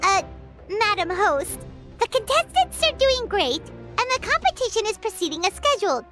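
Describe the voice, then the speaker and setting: An actor voicing a small, excitable, cheeky creature aide in a video game, exclamatory and childlike. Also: extremely high pitch, normal speed, soft background music, heavily acted. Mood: eager, reporting. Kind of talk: video game character acting.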